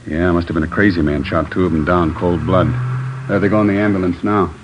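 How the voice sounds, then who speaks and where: Man as deep-voiced plain-spoken patrolman. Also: fairly even intonation, a little fast, lo-fi sound, close to an everyday voice. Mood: matter-of-fact. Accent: American. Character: brisk uniformed male patrolman, dutiful and plain-spoken. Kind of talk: 1950s radio crime drama acting. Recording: old radio recording with faint noise.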